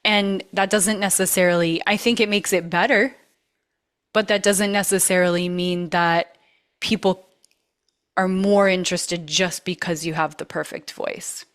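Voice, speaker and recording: A woman's voice: on the low side, steady tone, measured pace, a bit bright. A woman with a trained voice, hosting a masterclass and coaching in a casual, earnest way, leaning hard on repeated words. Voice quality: vocally trained. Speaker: masterclass host.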